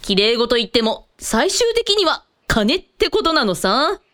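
A brusque, commanding woman with a hot temper , played by an actress, pitched fairly high and moving freely up and down. The delivery is blunt, sardonic and mannish in wording, in comic radio drama style. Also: very animated, unhurried, a bit bright. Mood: indignant, sardonic.

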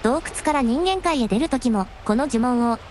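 Woman acting a high-voiced, gracious young dragon princess. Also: animated, moderate pace, a little hiss or hum. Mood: polite, grateful. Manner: refined, deferential, ladylike honorific speech. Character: young dragon princess, devoted, gentle, very polite. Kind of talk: Japanese radio drama acting.

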